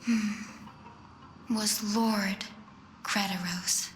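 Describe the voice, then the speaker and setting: A woman voicing a gentle, caring young fantasy healer in a story video game, speaking softly and reassuringly. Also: medium pitch, fairly even intonation, extremely slow, a little hiss or hum. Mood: solemn, wistful. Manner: soft, tender, reassuring. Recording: slightly roomy, music underneath.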